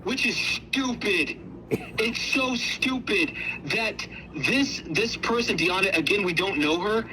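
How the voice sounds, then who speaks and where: A man's voice: high-pitched, sharp tone, a little hiss or hum, poor audio. A man giving casual, opinionated commentary in an indignant, emphatic way, often repeating himself for force. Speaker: co-host.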